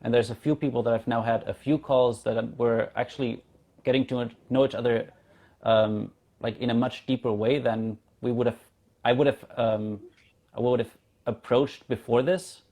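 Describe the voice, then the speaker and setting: A man's voice: mid-range voice, steady tone, moderate pace, warm tone. A man in his thirties from Germany, a native German speaker, chatting casually as an interview guest. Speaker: interview guest, community founder. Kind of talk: casual video-call conversation.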